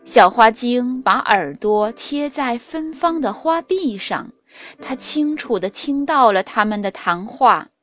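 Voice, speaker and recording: Soft music plays under a woman reading a children's fairy tale. She speaks standard Mandarin in a lightly high voice. Her pace is very slow, with each phrase carefully enunciated and expressively shaped, like a teacher telling a story. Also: lively intonation. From China. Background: music under the narration.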